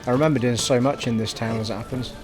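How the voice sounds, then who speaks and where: Chatty Scottish-born streamer, English accent. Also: male voice, mid-range voice, fairly even intonation, fast talker, music in the background. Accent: generic English. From Scotland. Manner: chatty, self-deprecating, thinks out loud. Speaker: game streamer. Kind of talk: live gameplay commentary. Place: home streaming room.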